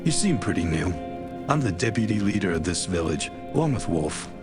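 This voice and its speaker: Seasoned friendly deputy, folksy survival trainer, low voice, game dialogue over loud music. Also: male voice, big pitch swings, a little fast. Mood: helpful, instructive. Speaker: tutorial guide. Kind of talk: video game character dialogue.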